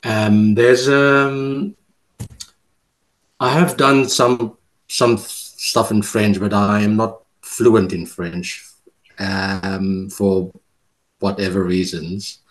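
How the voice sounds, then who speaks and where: A South African man speaks slowly in a fairly low voice. He is a poet, talking casually and reading his verse, stopping and restarting with lots of fillers. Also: steady tone, rough recording. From Cape Town, South Africa. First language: Afrikaans. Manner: hesitant, halting with many fillers and restarts. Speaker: poet, guest. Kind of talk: casual interview, reading his poem aloud.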